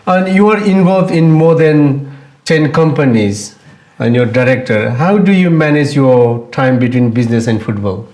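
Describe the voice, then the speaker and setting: A man's voice: medium pitch, moderate pace. Polite male interviewer in an echoey room. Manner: polite, brief affirmations, steady questions. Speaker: interview host. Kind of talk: interview questions. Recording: roomy echo.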